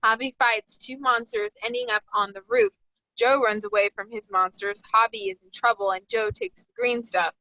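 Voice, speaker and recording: A woman's voice: a little high, very animated, normal speed, bright, forceful tone, no room sound. A woman narrator reading screenplay stage directions aloud at a table read. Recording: dull, dry lo-fi audio.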